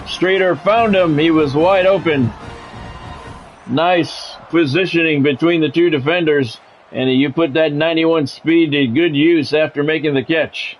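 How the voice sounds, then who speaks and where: A man's voice: a little high, fairly even intonation, unhurried, soft background music, rough recording. A man doing football play-by-play as a game streamer, in short clipped announcer-style calls mixed with casual chat to viewers.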